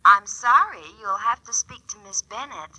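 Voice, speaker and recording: An officious office clerk in an old radio drama. Her voice is highish, piercingly bright and a bit husky, with lilting, brisk formality. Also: expressive, no echo, heavily acted, rough recording. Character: officious female insurance company switchboard clerk. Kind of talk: 1940s radio drama acting.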